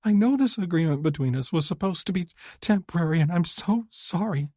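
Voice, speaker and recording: Male narrator doing a tearful young woman pleading her love, high and breathy, in a dry close recording. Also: lively intonation, a little fast, warm tone, dry recording. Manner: high, breathy, weeping delivery.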